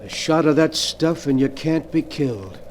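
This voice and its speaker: A man's voice: on the high side, lively intonation, moderate pace, darkish tone, slight background noise, close to an everyday voice. An actor playing a ruthless, hunted male crook in a 1940s radio drama, talking in a hard-boiled gangster way. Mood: menacing.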